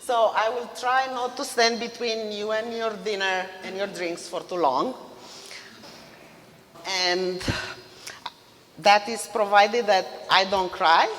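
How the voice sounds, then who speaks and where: A woman in her fifties from Romania with a Romanian accent, giving a mother-of-the-groom wedding toast in a loud voice, laughing between lines. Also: medium pitch, slow pace, long pauses, slight background noise. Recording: reverberant hall.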